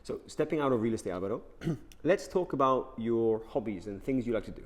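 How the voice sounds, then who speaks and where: A man hosting a company channel interview, energetic and enthusiastic as he puts questions to a colleague. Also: medium pitch, brisk. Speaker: host and interviewer.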